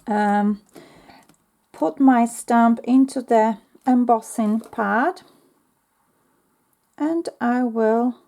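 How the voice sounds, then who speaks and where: A woman with a talks her way slowly through a crafting tutorial, pausing often with ums. Her voice sits a little high but sounds mellow and soft, slightly worn as if she is losing it. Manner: chatty, talks to herself while working, frequent ums. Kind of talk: casual step-by-step craft tutorial.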